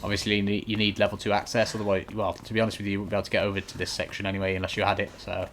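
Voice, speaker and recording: A man with a fairly low voice talks viewers through a video game. His commentary is casual and instructional, matter-of-fact and reassuring. There is clear noise and some clicking behind him. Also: completely flat delivery, very fast, a bit bright. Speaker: gaming video host giving a walkthrough. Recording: clearly noisy home recording.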